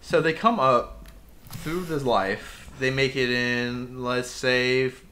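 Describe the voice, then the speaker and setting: A young man co-hosts a laid-back podcast and talks in a bright, cutting tone. He gets worked up as he goes, rambling through his point and then punching it home with sudden emphatic bursts. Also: medium pitch, slow pace. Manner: impassioned ranting with emphatic outbursts. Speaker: podcast co-host. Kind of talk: casual podcast rant.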